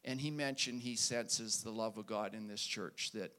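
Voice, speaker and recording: A man serving as pastor, introducing a guest speaker in a relaxed, rambling way in church. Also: on the low side, normal speed. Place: church sanctuary.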